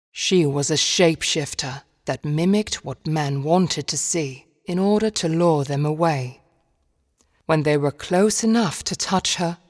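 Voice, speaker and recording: A woman narrating a dark fairy tale for a game in a measured, solemn storybook manner. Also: low-pitched. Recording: long reverberant hall echo.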